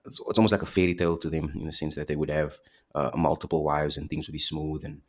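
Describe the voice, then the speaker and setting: A man's voice: extremely low pitch, little pitch movement, quick pace. A man giving casual, reflective commentary, hesitant and full of fillers and restarts. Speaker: host commenting on clips.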